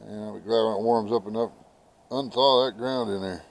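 Gravelly middle-aged American man, folksy. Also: fairly low pitch, brisk. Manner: folksy, chatty, talks to his birds. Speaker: homestead vlogger. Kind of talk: casual vlog chat. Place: outdoors in a snowy farmyard. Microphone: handheld camera at arm's length. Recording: noisy outdoor camera audio, birds clucking.

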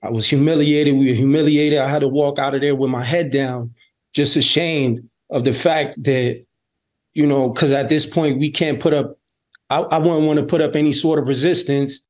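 A male truck driver tells an interviewer how he was treated. His voice is on the higher side for a man, and he speaks in an aggrieved, emphatic tone. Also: fairly even intonation, normal speed. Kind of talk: news interview.

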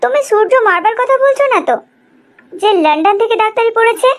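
A woman's voice: extremely high pitch, expressive, quick pace. A woman playing a confident, teasing young newlywed wife in a cartoon drama, with emphatic questioning. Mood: surprised, determined. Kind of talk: animated cartoon drama dialogue.

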